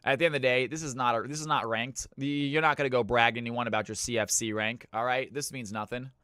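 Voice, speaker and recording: A man hosting viewer games on a live stream, chatting casually and thanking viewers, with sudden loud hype-announcer bursts. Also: medium pitch, fairly even intonation, fast talker, clear, resonant tone, no room sound, studio quality. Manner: hype announcer bursts between easygoing chat.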